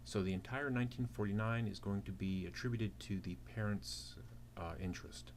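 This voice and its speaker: A man's voice: fairly low pitch, moderate pace. A man giving an instructional walkthrough as a teaching assistant, explaining step by step with rhetorical questions. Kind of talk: instructional walkthrough of a worked problem. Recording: clear background noise with keyboard typing.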